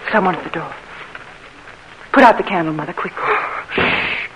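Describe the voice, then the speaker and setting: A woman's voice: lowish voice, normal speed, lightly acted. An actress playing an emotional young Southern woman, pleading and earnest, in a 1940s radio drama. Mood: anxious. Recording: noisy old radio recording.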